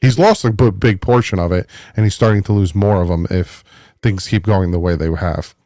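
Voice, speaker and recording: A man talking football in a casual podcast chat, animated and exasperated, slipping into mocking voices. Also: sits low, normal speed, no room sound, studio quality. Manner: animated, mocking the front office in put-on voices. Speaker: guest fan analyst.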